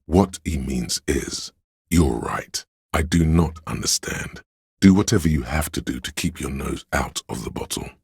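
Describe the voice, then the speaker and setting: A man voicing a grave, all-knowing narrator in a detective video game, measured and solemn, with a gravelly, husky voice. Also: very deep voice, no echo, studio quality, exaggerated voice. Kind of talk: scripted dramatic narration.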